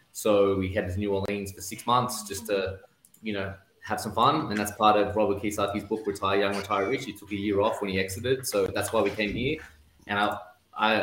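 Australian man, low voice, echoey room. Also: level tone, fairly quick, fairly bright tone, a little hiss or hum. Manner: rambling, many "you know" fillers and restarts. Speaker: podcast guest, real estate investor. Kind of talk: casual interview storytelling.

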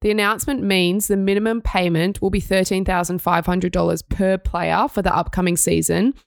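Low gravelly Australian woman. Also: no echo, studio quality. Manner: upbeat, reads news items then chats. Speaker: podcast co-host. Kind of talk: sports news podcast reading and chat.

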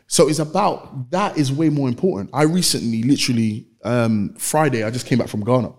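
A man's voice: medium pitch, a little fast, a little mellow. A man with an East London accent chatting casually as a podcast guest, earnest and slangy, repeating phrases for emphasis. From East London, England.

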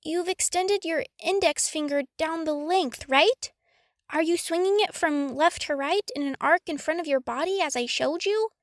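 A woman's voice: very high voice, normal speed, no echo, clean studio sound, voice pushed up. A woman voicing a polite, eager, evasive young boy wizard in a storybook reading. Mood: eager, helpful.

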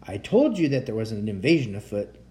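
A man's voice: lowish voice, big pitch swings, a little fast, warm tone. A man voicing a suspicious, self-important wild boar in a children's storybook, speaking pompously and emphatically. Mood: indignant.

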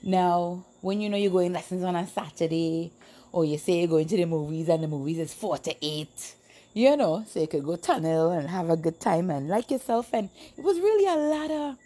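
A woman's voice: on the low side, expressive, normal speed, warm tone. A woman in her late thirties from Trinidad, chatting casually and familiarly to livestream viewers as she tells stories. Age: late 30s. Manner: chatty, familiar, addresses the audience often. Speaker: livestream host. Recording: music playing behind the voice. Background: background music.

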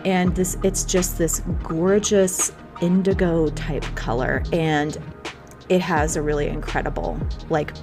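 A woman hosting a podcast in a casual, rambling way, slightly hoarse, sometimes reading aloud from a website. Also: low voice, steady tone, unhurried, music in the background. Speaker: podcast host. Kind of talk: casual spiritual podcast talk.

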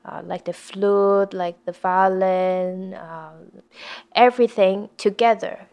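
A young woman from Hong Kong talks slowly and softly, stopping often to search for words. Her voice has a gravelly fry running through it. She is a piano contestant speaking casually in an interview. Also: mid-range voice, steady tone. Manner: soft-spoken, halting, with many fillers.

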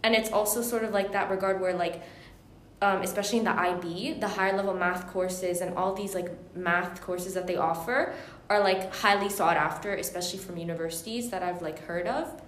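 A woman's voice: steady tone, fairly quick, a bit bright. A teenage female student talking casually about her own experience. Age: teens. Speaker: school student. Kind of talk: casual chat. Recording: roomy, faint rumbling noise.

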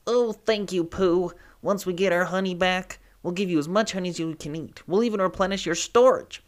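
A man's voice: a little high, animated, moderate pace, bright, forceful tone. A man voicing a friendly, eager male bee who speaks encouragingly and a little hesitantly.

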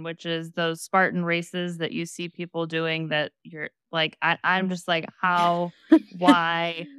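A woman's voice: lowish voice, fairly bright tone, clear, resonant tone, no echo. A woman co-hosting a podcast, talking in a chatty, bubbly way with lots of fillers. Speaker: podcast co-host. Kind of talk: casual podcast chat.

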